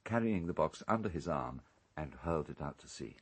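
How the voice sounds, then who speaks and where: An actor playing an urbane, calculating English island owner in a radio drama, speaking in a measured, precise, coolly unrepentant way. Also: male voice, a bass voice, expressive, husky, heavily acted. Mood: cool, matter-of-fact.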